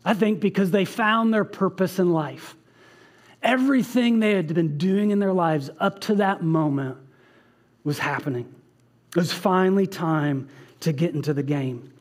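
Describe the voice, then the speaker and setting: A man in his thirties delivers a sermon from a church stage in a fairly high voice. He speaks earnestly, reading a passage aloud and breaking off for casual asides. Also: unhurried. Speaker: pastor. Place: church stage with band behind.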